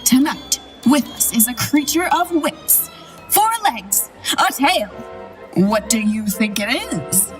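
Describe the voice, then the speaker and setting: A woman's voice: a little high, expressive, slow. A woman playing a bombastic, shifty circus ringmaster in an audio drama, with grandiose, scornful showmanship. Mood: showy hype. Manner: grandiose barker delivery. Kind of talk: theatrical audio drama acting. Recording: music behind the voice.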